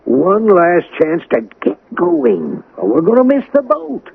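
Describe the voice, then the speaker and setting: An actor playing a middle-aged, long-married husband in a radio drama, grumbling with good humour and wry affection. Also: male voice, high-pitched, huge pitch swings, measured pace, vocal fry, slightly rough, exaggerated voice. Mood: teasing, exasperated. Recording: tinny, phone-like old radio broadcast.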